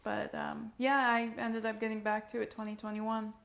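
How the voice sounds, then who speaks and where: A woman's voice: on the high side, completely flat delivery, moderate pace, vocal fry, fairly bright tone. A woman in her twenties, a model answering interview questions in a casual, giggly way, full of "like" and "um.". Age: 20s. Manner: giggly, uses "like" and "um" a lot. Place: indoors at a rented home.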